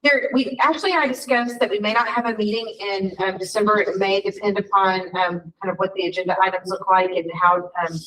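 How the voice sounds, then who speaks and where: A woman chairing a board meeting in a relaxed, informal way. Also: mid-range voice, fairly quick, hardly any pauses. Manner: warm, informal, lots of fillers. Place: meeting room. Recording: distant room mic at a meeting.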